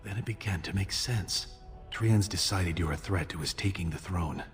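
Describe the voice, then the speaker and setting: An actor playing a scheming younger dwarf prince in a fantasy video game, measured, persuasive and conspiratorial. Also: male voice, low voice, faint music behind, natural voice. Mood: persuasive intrigue.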